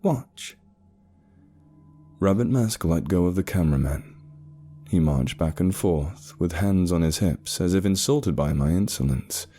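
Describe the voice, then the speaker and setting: A man reading a first-person horror story as a scripted narration, in a measured, suspenseful manner. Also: low-pitched, mellow. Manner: measured, suspenseful, short pauses. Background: eerie background music.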